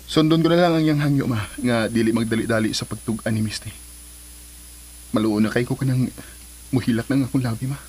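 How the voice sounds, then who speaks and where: A man's voice: mid-range voice, a little fast, a little mellow, breathy edge, lightly acted. An actor with a Cebuano Filipino accent playing an earnest, devoted grown son and businessman in a radio drama. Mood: reassuring.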